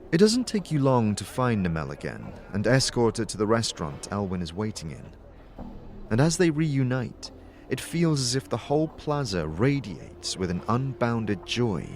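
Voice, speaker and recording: Low-pitched, expressive male storyteller. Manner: measured, descriptive storytelling. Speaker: narrator. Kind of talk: dramatic scripted fantasy narration.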